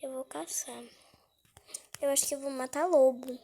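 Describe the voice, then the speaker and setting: A woman's voice: sits high, steady tone, moderate pace, creaky, mellow, a little hiss or hum. A woman with a cold, giving casual gameplay commentary; she is chatty and easily startled, and often drops to a whisper. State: has a cold. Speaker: gaming channel host.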